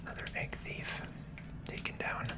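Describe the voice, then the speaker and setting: A video game host speaks entirely in a breathy, unvoiced whisper. The delivery is soft and unhurried, in a soothing ASMR style, as commentary that follows along with gameplay.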